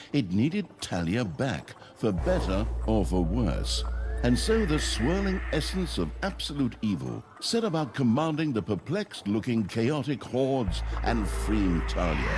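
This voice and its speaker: A man narrating a comedic fantasy game story in a theatrical, mock-grand storyteller manner with a wry delivery. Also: medium pitch. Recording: music under the voice. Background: music.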